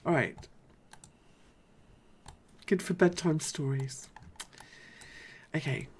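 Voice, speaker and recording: A woman with a deep, low voice hosts a live market analysis stream. She is chatty and informal and thinks aloud as she works through each point. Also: very slow, darkish tone, slight background noise. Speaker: host.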